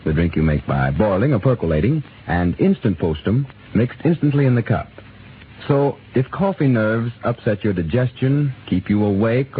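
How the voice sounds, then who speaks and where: A male radio announcer with a lively, swooping delivery reads the sponsor's pitch and sets the scene. He comes through a tinny 1930s broadcast with faint hiss behind. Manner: smooth, expressive salesmanlike delivery.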